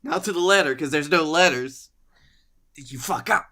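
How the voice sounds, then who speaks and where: Bright-voiced man telling casual comic stories. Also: a little high, normal speed. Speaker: comedy podcast co-host.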